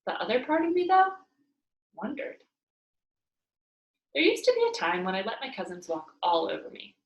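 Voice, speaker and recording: Female read-aloud narrator for children's fiction; very lively intonation, roomy home sound. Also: mid-range voice, normal speed, dull audio. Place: indoors at home by a fireplace.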